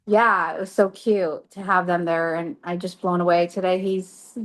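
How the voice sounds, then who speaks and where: A woman's voice: fairly low pitch, fairly even intonation, normal speed. A woman interviewing a guest, chatty and enthusiastic in casual conversation.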